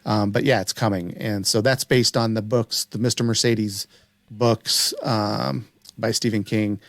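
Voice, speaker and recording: A man's voice: on the low side, steady tone, a little slow, creaky, fairly bright tone, dry recording. A man co-hosting a podcast, chatting casually about shows in a conversational way. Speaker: podcast co-host.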